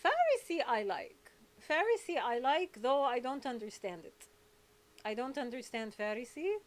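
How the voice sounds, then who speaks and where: A woman's voice: sits high, big pitch swings, measured pace. A woman born in England and raised in Saudi Arabia, hosting a casual livestream chat in a rambling, blunt, candid way. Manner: rambling, candid, blunt, jumping between topics. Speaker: livestream host and activist.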